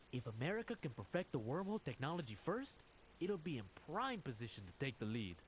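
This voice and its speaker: A man acting an earnest, troubled squad officer who confides deliberately in a video game audio log. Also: mid-range voice, a little hiss or hum, close to an everyday voice, rough recording. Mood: measured, suspicious.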